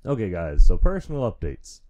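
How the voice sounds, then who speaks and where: A man's voice: wildly swooping pitch, normal speed, mellow. A man hosting his own show, rambling casually and frankly while feeling sick and stuffy, into a studio mic at home. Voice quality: naturally deep voice. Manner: rambling, frank, chuckles often. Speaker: podcast host. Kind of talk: casual solo chat. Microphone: studio mic through audio interface.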